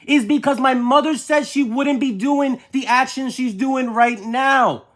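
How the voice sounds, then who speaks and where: High-pitched, insistent caller in a heated argument. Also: unhurried. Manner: insistent, repetitive, exasperated. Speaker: nephew or niece on a phone call with an aunt. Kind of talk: heated family argument on a phone call.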